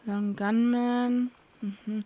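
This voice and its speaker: Woman, slightly high voice, very unhurried, casual game stream, hesitant reading aloud, muttered guesses. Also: little pitch movement, dark tone. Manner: halting, puzzled reading with muttering and trailing guesses. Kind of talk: casual livestream gameplay commentary, reading in-game text aloud.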